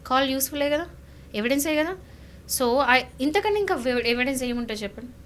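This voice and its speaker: Casting: female lawyer, Telangana Telugu accent, higher-pitched, emphatic explainer, faint noise behind. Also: animated, quick pace, a bit bright. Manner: emphatic, repeats points, rhetorical questions. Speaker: lawyer, guest legal expert. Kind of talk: explaining legal advice conversationally.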